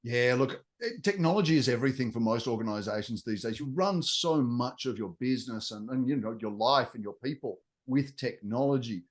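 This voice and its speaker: A man's voice: mid-range voice, lively intonation, brisk, hardly any pauses. A middle-aged man with an Australian accent, a guest explaining technology in a fluent, business-like way. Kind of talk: interview answers.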